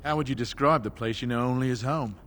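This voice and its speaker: An actor voicing a suspicious, guarded village shopkeeper in a video game. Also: male voice, medium pitch, fast, a bit bright, a little hiss or hum, natural voice. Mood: wary. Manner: guarded, curt. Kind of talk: video game dialogue acting.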